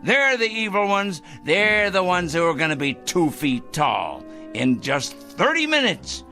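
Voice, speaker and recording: A self-righteous, obsessive male crank in a radio drama. His bright, sharp voice leaps around in pitch, yet he draws his words out slowly. He sounds fanatical and paranoid, a theatrical ranter. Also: faint music behind, heavily acted. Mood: agitated, indignant. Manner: ranting, excitable, muttering and crooning to a pet.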